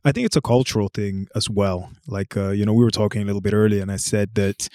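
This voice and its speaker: Fast-talking low-voiced man. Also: fairly even intonation, dark tone, no room sound, studio quality. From born in Brazil. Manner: rapid, hedging, full of "you know" fillers. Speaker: podcast guest. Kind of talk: casual podcast discussion.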